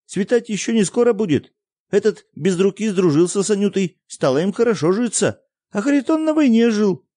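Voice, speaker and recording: A man voicing a defiant peasant boy who scolds his parents in a high, indignant voice. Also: dark tone, no room sound, clean studio sound.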